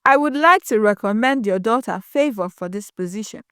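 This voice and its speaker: A woman voicing a respected old male village elder in a moral story, with a measured, weighty manner. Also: fairly high pitch, lively intonation, a bit bright, clean tone, dry recording, studio quality. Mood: solemn, formal.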